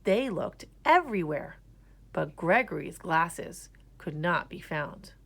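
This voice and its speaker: A woman's voice: on the low side, expressive, takes it slowly, creaky. A woman reading a children's picture book aloud as a storyteller. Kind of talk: scripted children's story narration.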